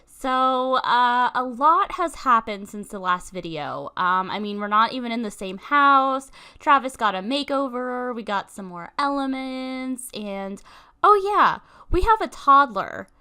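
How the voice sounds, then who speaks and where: A woman's voice: a little high, lively intonation, unhurried, bright, forceful tone, clean tone. Congested, chatty young woman narrating gameplay. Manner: chatty, many ums and likes. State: congested from a cold. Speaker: let's-play host. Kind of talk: casual game commentary.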